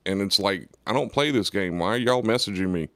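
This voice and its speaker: Deep-voiced man, relaxed jokey radio co-host, dry close mic. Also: a little fast, dry recording. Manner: laid-back, joking. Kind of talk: casual radio chat.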